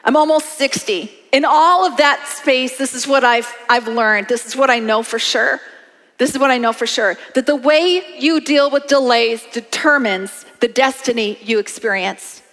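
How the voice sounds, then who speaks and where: A woman in her late fifties preaching a sermon in an animated, conversational way, calling on the congregation to respond. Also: high voice, normal speed. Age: 50s. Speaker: pastor. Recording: long hall reverb.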